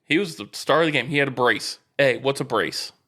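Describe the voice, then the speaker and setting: A man's voice: medium pitch, a little fast, bright, forceful tone. A man hosting a sports podcast in casual banter, explaining things knowledgeably and teasing his co-host.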